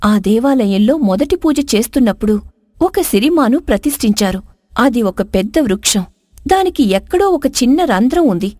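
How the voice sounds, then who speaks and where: A woman acts out a young princess of a storybook kingdom, scorned yet wise. Her voice is high and earnest, with solemn, formal phrasing. The recording is very dry, with no room sound. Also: darkish tone, dry recording. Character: spurned dark-skinned young princess, earnest, wise, wronged. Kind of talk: dramatised moral folk tale.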